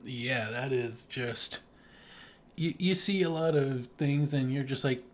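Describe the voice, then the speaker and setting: A man's voice: mid-range voice, takes it slowly, a little hiss or hum. A man co-hosting a casual film review podcast, talking in a gushing, emphatic, sweary way. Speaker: podcast co-host.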